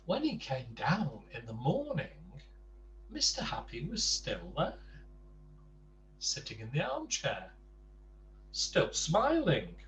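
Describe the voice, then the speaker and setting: A man reading a children's storybook aloud in an expressive, emphatic way. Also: on the high side, slow pace, pauses often. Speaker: bedtime story reader. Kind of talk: animated children's storybook narration with casual asides. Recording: distant mic with faint background noise.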